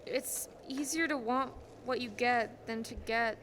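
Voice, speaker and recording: A young woman playing an earnest, gently moralizing guardian angel in a radio play, with noise on the recording. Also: a little high, unhurried. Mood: solemn. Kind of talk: filmed radio play acting, high school production. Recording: clearly noisy stage recording.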